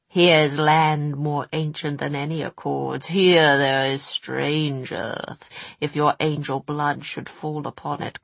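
A woman's voice: vocal fry, breathy edge, no echo, full character voice. A woman growling as a gloating hooved goblin. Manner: slow, low, gloating. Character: menacing male goblin, old, hooved, greedy.

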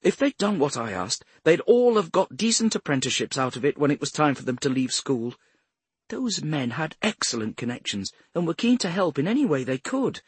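A haughty older woman with a cultured English accent, as a male narrator puts her on. The voice is raised well up and swings with indignation and prim self-regard. It sounds a little husky and close, like a storybook character. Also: on the high side, dry recording, voice pushed up, heavily acted. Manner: prim, self-righteous, sharp. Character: cultured, haughty retired care-home matron, a grandmother.

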